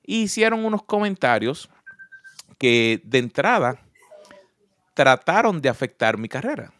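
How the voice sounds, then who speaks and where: A man's voice: medium pitch, big pitch swings, a little slow, fairly bright tone, no echo. A man with a Puerto Rican Spanish accent, telling personal stories in an earnest, reflective conversation. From Puerto Rico. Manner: earnest, storytelling with personal examples.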